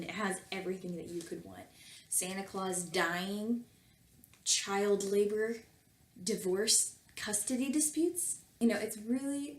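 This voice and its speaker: A woman talking casually and reading aloud as a comedic host, with wry conversational asides. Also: medium pitch. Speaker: video host and fan fiction reader. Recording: roomy echo with faint background noise.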